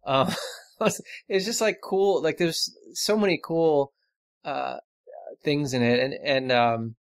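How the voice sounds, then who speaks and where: A man's voice: fairly high pitch, slow, clear, resonant tone, dry recording. A man speaking as a guest in a casual panel discussion, often searching for his words.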